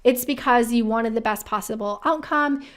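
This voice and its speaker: A woman with a somewhat high-pitched voice hosts a career advice video. She speaks in a conversational, emphatic way. She often frames her commentary as rhetorical questions. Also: moderate pace, clear, resonant tone. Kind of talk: casual commentary reacting to viewer-submitted quotes.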